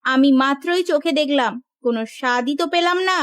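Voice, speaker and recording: A woman playing a domineering, scolding sister-in-law in a Bengali village cartoon, with a sharp, nagging manner. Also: high-pitched, brisk, natural voice. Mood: accusing.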